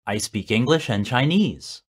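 A man reading scripted example sentences for a language lesson, with clear, measured enunciation. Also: medium pitch, expressive.

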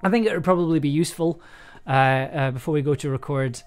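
A man's voice: on the high side, fast, clean tone. A young adult man chatting casually on a livestream, hesitant and rambling as he thinks aloud. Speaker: audio drama producer and streamer. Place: small indoor room at home. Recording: music playing underneath. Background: background music.

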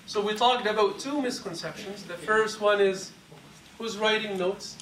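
A man's voice: high-pitched, fairly bright tone. Man teaching a class in an echoey hall. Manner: measured and explanatory, taking questions from the class. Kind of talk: mosque class lecture. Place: large carpeted mosque hall. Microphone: lapel mic, filmed by a far camera. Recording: echoey hall from a distance, faint hiss.

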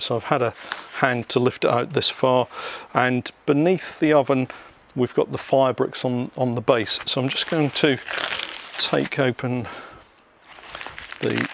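A man's voice: medium pitch, gravelly, a bit bright, slight background noise. Chatty British man presenting casually. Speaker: vlog host. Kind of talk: casual unboxing narration to viewers.

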